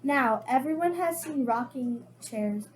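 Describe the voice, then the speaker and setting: Higher-voiced female reader with background noise. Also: animated, measured pace, low-quality recording. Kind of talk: children's picture book read-aloud.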